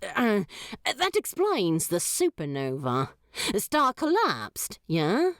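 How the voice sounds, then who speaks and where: A woman's voice: medium pitch, slow pace, no echo, studio quality, full character voice. A woman doing a snarky swearing demon. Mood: teasing. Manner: brash, excited, emphatic. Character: snarky swearing male demon, impatient and teasing.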